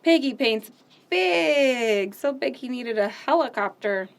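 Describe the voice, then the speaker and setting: Woman storytime host reading picture books to kids, slow and sing-song, slightly high voice. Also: expressive, vocal fry. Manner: gentle, slow, sing-song, asks children questions.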